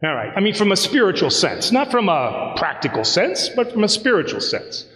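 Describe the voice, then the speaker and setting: A man preaching a sermon as a priest, telling a personal story in a lively, conversational way and acting out the dialogue. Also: high-pitched, normal speed, slight background noise. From United States. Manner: animated, conversational, acts out dialogue. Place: church sanctuary. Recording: roomy church with long reverb.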